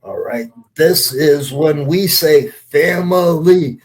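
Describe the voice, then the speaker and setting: A man's voice: fairly high pitch, slow. A man talking on a podcast, giving opinions in an earnest, emphatic way and repeating points for weight. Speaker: wrestler and panelist.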